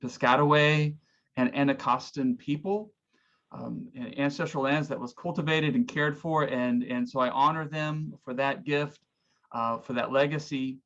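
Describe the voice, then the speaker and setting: A man from Oklahoma, a pastor, giving earnest, reflective and somewhat halting opening remarks over a video call. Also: medium pitch, a bit bright. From Oklahoma, United States. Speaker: pastor, guest speaker. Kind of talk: informal opening remarks of a talk. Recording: dull, lo-fi video call audio.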